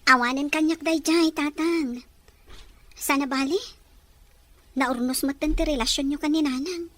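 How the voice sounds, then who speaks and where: A woman's voice: high voice, moderate pace, fairly bright tone. A woman acting a dutiful young graduate, hurt yet kind, in an audio drama with an Ilocano accent. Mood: earnest, hurt.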